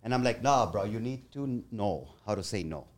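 A man's voice: lowish voice, a little fast. A man in his forties, an electrical contractor, telling a story in a casual roundtable chat and quoting his conversations with an apprentice. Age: 40s. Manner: lively, quotes conversations, laughs often.

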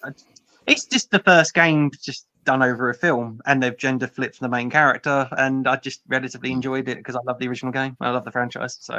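A bright, forward-voiced guy on a panel podcast, chatting casually with no room around him. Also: mid-range voice, fairly even intonation, fairly quick, no room sound, dull audio. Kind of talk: casual chat.

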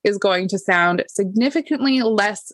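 A woman's voice: mid-range voice, unhurried, dry recording. A woman hosting a podcast, talking in an upbeat, friendly way with her guest. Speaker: podcast host. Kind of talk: casual podcast interview.

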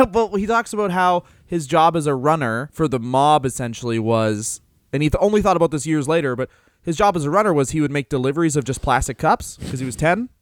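A man's voice: fairly high pitch, expressive, a little fast, no room sound. A man co-hosting a casual podcast, rambling loosely with lots of fillers and offhand swearing. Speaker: podcast co-host. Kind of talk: casual wrestling podcast chat.

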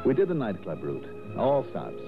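An actor playing a smooth-talking, steady investigator in a 1960s radio drama, speaking in a wry, conversational way. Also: male voice, fairly low pitch, moderate pace, narrow, tinny audio. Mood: wry narrating. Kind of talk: 1960s radio drama acting, first-person narration. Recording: thin old radio recording, loud music.

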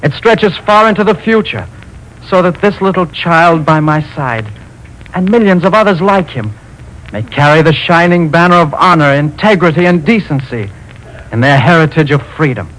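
Goodwill, a preachy kindly stranger. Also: male voice, on the high side, lively intonation, normal speed, a bit bright, rough recording. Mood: stern preaching. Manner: earnest, sermonizing, commanding. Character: Goodwill, a wise preachy man, stern and kindly. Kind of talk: 1940s radio acting.

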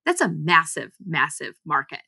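Very low-pitched, bright-voiced woman. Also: very animated, moderate pace, creaky, breathy edge, no room sound, clean studio sound. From United States and Singapore. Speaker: interview guest, startup founder. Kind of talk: conversational interview answers.

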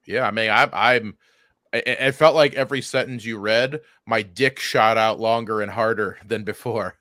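A man's voice: lowish voice, normal speed, pushed and bright, no echo, studio quality. A man co-hosting a comedy podcast, riffing casually with jokes and interjections. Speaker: podcast co-host.

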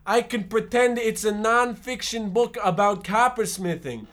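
Man as a high-voiced, bookish young boy. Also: measured pace, a bit bright. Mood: dreamy. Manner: wordy, theatrical. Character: bookish imaginative eleven-year-old boy, meek and wordy. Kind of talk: parody of old-time radio drama.

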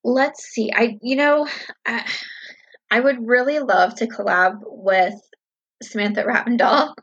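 Casting: thirties woman, vlogger doing casual Q&A, husky tone, slightly muffled lo-fi recording. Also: on the high side, steady tone, slow. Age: 30s. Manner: upbeat, chatty, reflective with many fillers. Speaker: vlogger answering viewer questions. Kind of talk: casual Q&A chat to camera.

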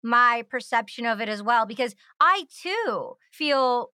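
A woman's voice: on the high side, lively intonation, measured pace, pushed and bright, clear, resonant tone, dry recording, clean studio sound. An American woman hosting a casual interview with gushing enthusiasm, often saying "like". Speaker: interviewer, host.

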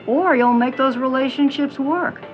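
A woman playing a fortune-teller in an audio drama, reading palms and cards with slow, portentous pauses. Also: high voice, natural voice. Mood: mystical, portentous. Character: theatrical fortune-teller, businesslike about payment. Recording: dull sound with music behind.

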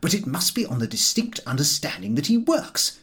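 A man's voice: on the high side, sing-song, brisk, a bit bright, voice pushed up. A man voicing a stubborn, dryly grumbling married man in an audiobook, speaking firmly and bluntly. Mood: insistent. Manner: emphatic, blunt.

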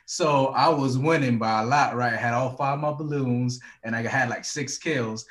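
A man's voice: mid-range voice, normal speed, a bit bright, roomy sound. A man from Honduras who grew up in Florida, telling stories as a podcast guest and cursing freely. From Honduras, raised in Florida. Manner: storytelling, swears freely. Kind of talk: casual chat.

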